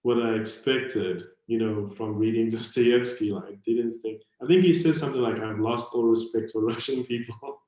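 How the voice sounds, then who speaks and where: A man's voice: medium pitch, normal speed, hardly any pauses, mellow. Rambling South African man, very echoey. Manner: rambling, hedging with many fillers. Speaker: moderator. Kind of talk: online panel conversation. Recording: very echoey room.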